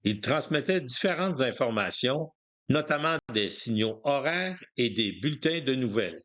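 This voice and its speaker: A man delivers scripted documentary narration about the past in a mid-pitched voice. The recording is dull and lo-fi, with limited detail in the sound. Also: expressive, moderate pace, fairly bright tone.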